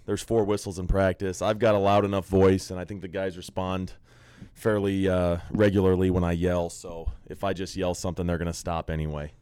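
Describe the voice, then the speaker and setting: A man's voice: low voice, clean tone, no echo. A man who coaches college basketball, talking easily and at length in an interview, often restarting his sentences. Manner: relaxed, talkative, frequent restarts. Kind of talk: casual interview chat.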